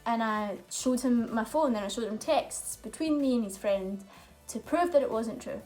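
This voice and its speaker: A woman's voice: on the high side, moderate pace, a little mellow, soft background music, poor audio. A young woman, chatting openly and telling stories in a casual question-and-answer vlog. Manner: chatty, open storytelling with fillers. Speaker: vlogger.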